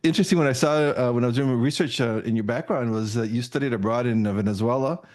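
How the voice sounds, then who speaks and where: A man's voice: medium pitch, fast, a little mellow. Friendly man hosting a podcast. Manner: friendly, many uhs and restarts, laughs often. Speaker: podcast host. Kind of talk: casual interview hosting.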